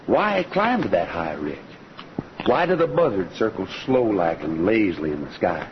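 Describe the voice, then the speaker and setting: A man's voice: low voice, very animated, moderate pace, noisy background. A man playing a kindly, reflective singing cowboy in an old-time radio western, with a folksy, poetic way of talking. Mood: reverent, reflective. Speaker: lead actor and singing cowboy. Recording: muffled old radio recording with music.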